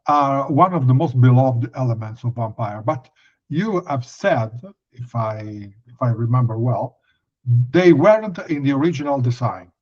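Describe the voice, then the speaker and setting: Through slightly dull, lo-fi audio, a male interviewer puts prepared questions to a guest. He is polite and deferential, with a halting delivery and a middling pitch. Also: a little mellow. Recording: muffled, band-limited audio.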